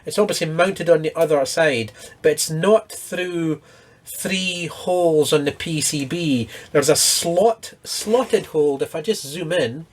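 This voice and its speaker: A man's voice: fairly high pitch, unhurried. A man hosting a casual hands-on repair walkthrough, explaining each step in a matter-of-fact way. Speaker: host of an electronics repair channel.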